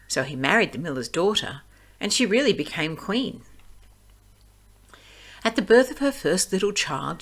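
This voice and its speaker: A woman narrates a fairy tale in a fairly low voice. Her reading is expressive and animated, with pitch that rises and falls freely as a storyteller's does.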